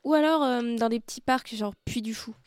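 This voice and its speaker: A woman's voice: on the high side, moderate pace, darkish tone, dry recording. A woman in her late twenties, an activity leader at a youth center, answering interview questions playfully with many hesitations. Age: 20s. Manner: hesitant, playful, lots of hmms. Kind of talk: casual radio interview. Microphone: close studio mic.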